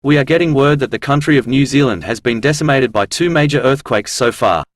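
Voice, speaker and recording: A man reading a scripted news report in a formal, measured way. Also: mid-range voice, music in the background.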